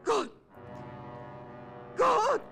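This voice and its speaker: A woman playing a frightened, awestruck heroine in a 1950s radio drama, emotional and melodramatic. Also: drawn-out words. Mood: terrified. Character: terrified, awestruck woman in a science fiction tale. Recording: old radio broadcast with music behind.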